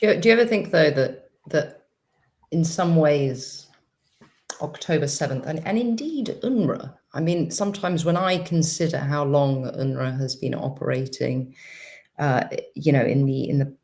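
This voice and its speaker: A woman's voice: low voice, a little slow, a little mellow. A woman on a discussion panel speaking bluntly and emphatically. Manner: emphatic, blunt, quotes herself. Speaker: panel guest.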